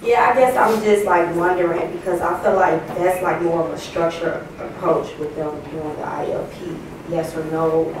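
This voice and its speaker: A woman's voice: lowish voice, steady tone, normal speed, hardly any pauses, darkish tone. Distant, very echoey American woman asking questions. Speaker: audience member asking a question. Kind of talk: informal, tentative questioning. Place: lecture room audience, away from the microphone. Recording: very echoey, distant, noisy room.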